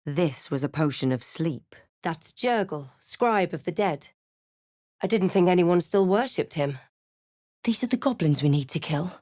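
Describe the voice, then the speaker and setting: A woman playing a wry, watchful fantasy adventurer hero in a video game, with a measured, dramatic delivery. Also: fairly low pitch, expressive, a little mellow, dry recording, studio quality. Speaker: player character in a fantasy role-playing game. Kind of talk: video game voice lines and narration.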